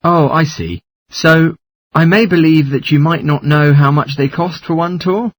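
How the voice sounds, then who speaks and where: A man playing a polite traveller making inquiries in a scripted dialogue, with a husky voice. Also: fairly high pitch, steady tone, unhurried. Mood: polite, curious. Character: polite traveller asking for fare information. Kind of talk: scripted listening-test dialogue.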